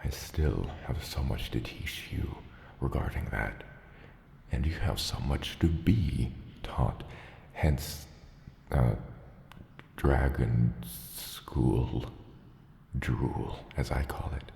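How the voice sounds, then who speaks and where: A man voicing a gentle, fatherly mountain dragon in a soft ASMR roleplay, patient and measured, with formal wording and hesitant asides. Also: full character voice. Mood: patient, instructive. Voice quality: gravelly, very deep. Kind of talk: ASMR roleplay, soft slow scripted monologue. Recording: roomy long cave-like reverb, faint noise.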